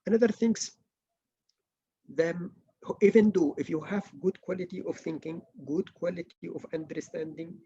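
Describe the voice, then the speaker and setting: A middle-aged man from Malaysia, giving an academic writing workshop lecture in earnest, halting English with many restarts. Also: on the high side, fairly even intonation, dark tone, slightly rough, dull audio. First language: not English.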